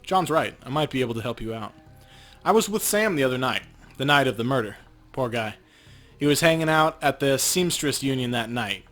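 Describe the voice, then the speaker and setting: A man's voice: medium pitch, expressive, brisk, fairly bright tone, soft background music, close to an everyday voice. A man voicing a laid-back, cocky male street shaman from a cyberpunk game in a wry, casual way. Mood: easygoing.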